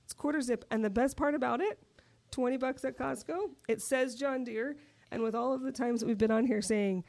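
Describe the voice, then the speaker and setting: A woman with a deep voice co-hosts a relaxed podcast. She chats and giggles a lot, sounding worn down from being sick. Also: brisk, gravelly. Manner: giggly, chatty. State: worn out from flu. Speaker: podcast co-host. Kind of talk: casual chat.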